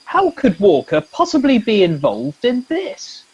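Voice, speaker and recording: A man's voice: very high voice, wildly swooping pitch, unhurried, slightly rough, muffled recording, heavily acted. A man playing a mild, polite and fussy sergeant in a comic radio play. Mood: politely fussy.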